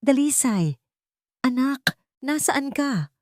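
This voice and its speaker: A woman narrator voicing an anguished, hoarse mother desperate for her sick child in a story. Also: high voice, lively intonation, slow, a little mellow, slight background noise, voice pushed up. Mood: desperate, pleading. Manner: anguished, pleading.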